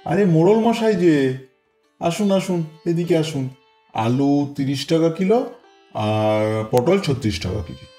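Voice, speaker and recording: A man's voice: a little high, animated, unhurried, dark tone, faint music behind. A man voicing an eager, deferential village vegetable seller in a cartoon. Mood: eager, welcoming. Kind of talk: Bengali cartoon voice acting.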